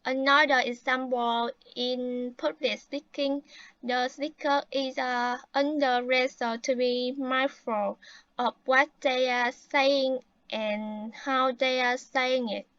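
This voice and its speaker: A woman's voice: sits high, steady tone, slow pace, fairly bright tone, dry recording, highs cut off. A young woman with a Vietnamese accent, playing a polite talk-show guest in a class role-play. She recites rehearsed answers with hesitant fillers. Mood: earnest. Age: late teens. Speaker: student playing a guest from Thailand.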